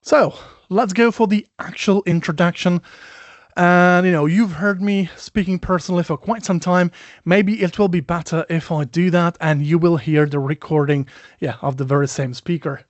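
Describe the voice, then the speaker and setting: A man's voice: high voice, moderate pace, no room sound, muffled recording. Chatty non-native English-speaking man presenting. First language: not English. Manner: chatty, self-deprecating, many "you know" fillers. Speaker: webinar presenter. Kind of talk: conversational product presentation.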